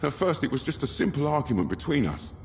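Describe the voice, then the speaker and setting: A man's voice: medium pitch, big pitch swings, quick pace. A man playing a guilt-ridden, defensive dockworker in a video game, blunt and terse. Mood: resigned, bitter. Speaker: video game character actor. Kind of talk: dramatic video game dialogue. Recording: muffled, long reverb, noisy game audio.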